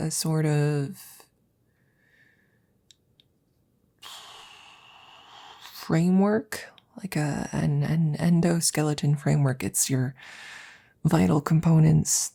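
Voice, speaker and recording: Woman acting as a hushed, halting, anxious scientist friend. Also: low voice, exaggerated voice. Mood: nervous, encouraging. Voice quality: breathy, whispery, gravelly. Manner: halting, stammering, hushed, intimate. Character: anxious, devoted female scientist tending her best friend. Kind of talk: ASMR audio roleplay.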